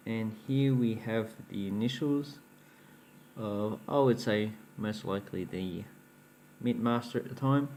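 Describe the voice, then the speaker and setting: A man's voice: mid-range voice, darkish tone, slight background noise. A man hosting a casual coin-collecting vlog, chatty and explanatory, laughing at his own slips. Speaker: coin collecting channel host. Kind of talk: casual show-and-tell vlog.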